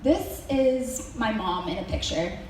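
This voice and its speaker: A woman's voice: fairly high pitch, expressive, slow, slight background noise. Young woman in a very echoey hall. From Mexico. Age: young adult. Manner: hesitant with many ums, wry joking asides. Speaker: student presenter. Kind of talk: senior presentation to a school audience. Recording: very echoey hall recorded from far off.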